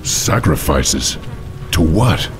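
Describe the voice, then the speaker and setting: A man playing a resolute, commanding heroic orc war chief in a video game, with a gravelly voice. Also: very deep voice, very animated, extremely slow, soft background music, heavily acted. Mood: wary. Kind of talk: video game hero acting. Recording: rain and game ambience behind.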